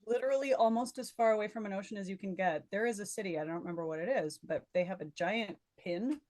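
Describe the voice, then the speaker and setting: A woman's voice: medium pitch, quick pace, a little mellow, no echo. A woman with an American accent in a casual chat with friends as a podcast host. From Minnesota, United States.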